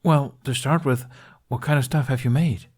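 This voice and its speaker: A man's voice: medium pitch, fairly even intonation, normal speed, slightly rough, pitched above the performer's own voice, lightly acted. A man voicing a playful, curious young man with a cheeky, eager manner in a story reading.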